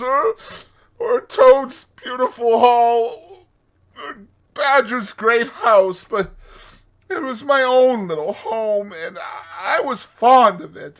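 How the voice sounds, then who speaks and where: A small, homesick mole from a storybook, pitched very high and crying. The words come out in halting, plaintive sobs, swinging widely in pitch. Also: male voice, a bit bright, heavily acted. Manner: sobbing, halting, plaintive delivery. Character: tearful, homesick, humble little mole. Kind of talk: dramatized storybook reading.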